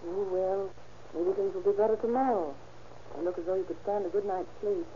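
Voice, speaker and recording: Female actor for a long-suffering wife, old-time radio drama. Mellow, placating, concerned, on a muffled old recording. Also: mid-range voice, steady tone, a little fast, slight background noise, close to an everyday voice. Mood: gentle, puzzled. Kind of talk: 1930s radio drama acting.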